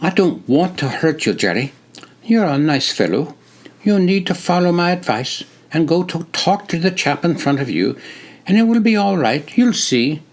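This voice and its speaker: A man voicing a friendly young male army recruit who talks in a crisp, British-sounding, courteous way. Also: fairly high pitch, very animated, moderate pace, voice pushed up. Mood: genial.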